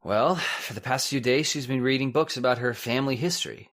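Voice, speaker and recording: An actor as an anxious, insistent radio-drama husband. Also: male voice, mid-range voice, fairly quick, a bit bright, lightly acted. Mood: shaken. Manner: earnest, insistent, stammering when shaken. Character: Paul Seaton, anxious, sceptical husband. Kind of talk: old-time radio horror acting.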